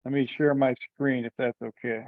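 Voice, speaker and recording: A man informally chairing a group teleconference, talking in a halting, rambling way. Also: mid-range voice, little pitch movement, no room sound. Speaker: meeting chair. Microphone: teleconference call. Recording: dull, lo-fi conference call audio.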